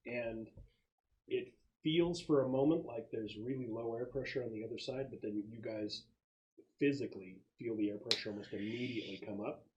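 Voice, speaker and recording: Man game master, halting tabletop narration. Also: medium pitch, darkish tone, muffled recording, slight background noise. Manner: halting, searching for words as he describes.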